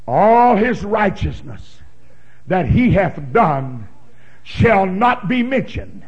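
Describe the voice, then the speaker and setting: Fervent older preacher with drawl. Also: male voice. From Oklahoma. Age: 60s. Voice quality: big booming voice. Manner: fervent, emphatic pulpit delivery with sudden shouts. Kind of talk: sermon with scripture reading.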